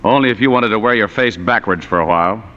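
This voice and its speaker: A man's voice: lowish voice, fast, bright, forceful tone. An actor playing a tough, wisecracking male private detective in 1940s radio noir, with sardonic, clipped delivery. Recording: muffled vintage radio recording with music.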